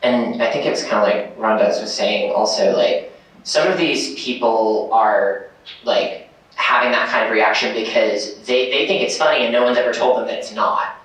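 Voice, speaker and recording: A man's voice: mid-range voice, normal speed, fairly bright tone. A man speaking on a convention panel in a casual, rambling way, with many fillers and restarts. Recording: echoey hall from far off.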